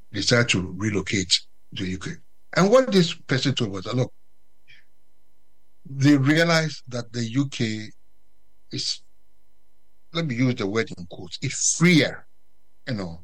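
Halting man from Ghana. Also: on the low side, low-quality recording. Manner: halting, many fillers and restarts. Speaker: guest commentator. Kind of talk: unscripted commentary. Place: UK resident.

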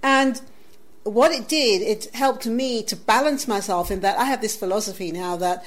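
A woman's voice: medium pitch, moderate pace. A woman interviewing a guest she admires, asking questions and offering praise in a conversational way.

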